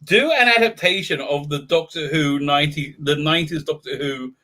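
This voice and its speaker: A man hosting a casual online show, talking excitably with frequent stammered restarts. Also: on the high side, normal speed. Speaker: show host. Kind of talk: casual video-call chat.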